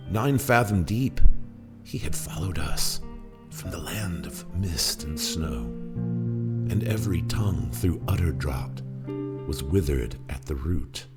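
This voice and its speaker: Very deep, gravelly man reciting dramatic verse. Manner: theatrical, brooding, emphatic, with whispers and cries. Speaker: poetry reader and performer. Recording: music under the voice. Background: guitar music.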